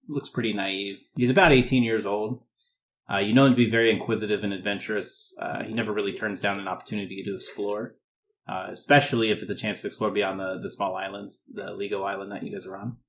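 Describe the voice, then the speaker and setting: A man's voice: on the low side, fairly bright tone, slight background noise. A man working as game master, describing a tabletop role-playing scene in an informal, explanatory way.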